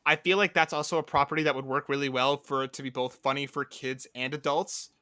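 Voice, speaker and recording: A man co-hosting a podcast in casual banter, telling things like an animated storyteller and often checking in with "right?". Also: mid-range voice, fast talker, no echo, clean studio sound. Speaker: podcast co-host.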